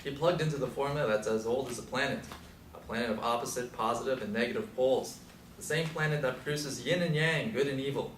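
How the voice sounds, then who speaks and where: An actor playing an aging, skeptical Beat theorizer who explains and declaims in a stage play. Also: male voice, mid-range voice, quick pace, fairly bright tone, close to an everyday voice. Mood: musing. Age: older. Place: live stage performance recorded from a distance. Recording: echoey, distant mic, faint noise.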